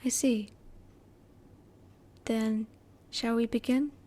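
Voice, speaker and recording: A woman voicing a curious, emotionally reserved female alien in an intimate roleplay audio, speaking in a formal, measured, inquisitive way. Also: fairly high pitch, fairly even intonation, warm tone. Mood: intrigued, restrained.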